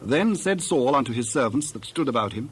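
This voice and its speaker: A man's voice: mid-range voice, animated, a little fast, slightly rough. A man narrating a dramatized reading of scripture from a script.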